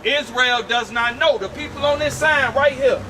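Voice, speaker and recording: A man's voice: very high-pitched, moderate pace, bright, forceful tone, some chatter behind. A man preaching outdoors on a city street, emphatic and commanding, asking rhetorical questions and calling on a reader. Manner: commanding, directs a reader with repeated "read". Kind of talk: street preaching with call-and-response. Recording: distant mic with street noise.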